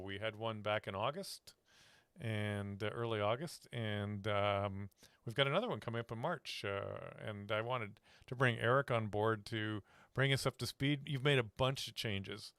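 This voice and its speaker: A man hosts a relaxed interview chat. His voice is clear and resonant at a middling pitch. He phrases things loosely and informally, and the sound is dry with very little room in it. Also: no room sound. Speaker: interviewer host from a sponsoring company.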